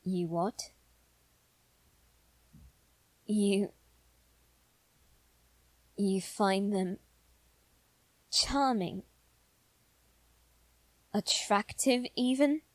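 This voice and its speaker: A woman voicing an anxious aristocratic boy in a scripted audio roleplay, speaking formally and haltingly with dramatic pauses. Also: mid-range voice, dry recording, poor audio. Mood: dismayed. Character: anxious aristocratic boy lord bound to demons. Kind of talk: scripted ASMR roleplay addressed to the listener.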